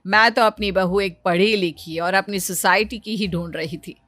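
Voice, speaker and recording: A woman's voice: medium pitch, steady tone, moderate pace, a bit bright, no echo, natural voice. A woman voicing a stern, domineering rich middle-aged mother in a cartoon story, in a scolding, bossy manner. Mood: imperious.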